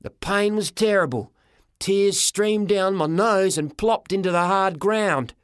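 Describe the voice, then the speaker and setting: Higher-pitched male audiobook narrator, very dry. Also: unhurried, clear, resonant tone, no echo. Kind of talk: scripted first-person story narration.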